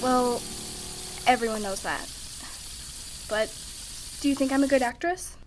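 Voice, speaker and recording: A woman playing a sulky, blunt, self-absorbed high school girl in an audio drama. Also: a little slow, background noise, close to an everyday voice. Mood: sulky, probing.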